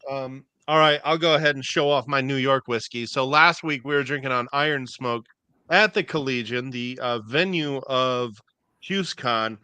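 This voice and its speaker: A man's voice: mid-range voice, normal speed, sharp tone, clean tone, no room sound. A male host in casual chat, upbeat and steering the conversation.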